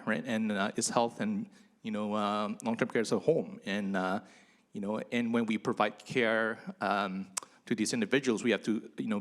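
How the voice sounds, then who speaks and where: A man originally from Indonesia, whose first language was Indonesian, giving a chatty, self-deprecating conference talk with many fillers. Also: mid-range voice. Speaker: conference speaker, physician researcher. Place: conference hall.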